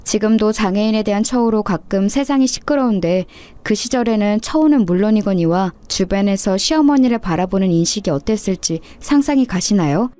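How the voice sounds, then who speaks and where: A woman's voice: mid-range voice, expressive, fairly quick, few pauses, mellow, dry recording. A woman reading a scripted first-person story as a narrator.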